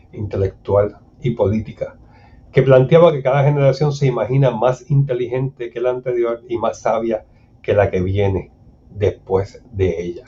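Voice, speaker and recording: A man's voice: on the low side, mellow, a little hiss or hum. Dull-sounding recording, Puerto Rican man lecturing. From Puerto Rico. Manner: formal, measured, didactic. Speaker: conflict mediator giving a seminar talk.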